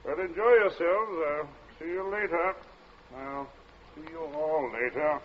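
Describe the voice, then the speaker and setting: An actor playing a genial, smoothly dissembling middle-aged gentleman in an old radio drama, hemming affably. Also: fairly high pitch, a little slow, close to an everyday voice. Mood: genial, soothing. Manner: affable, hemming, affectedly casual. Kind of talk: golden age radio drama acting. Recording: dull, hissy old radio recording.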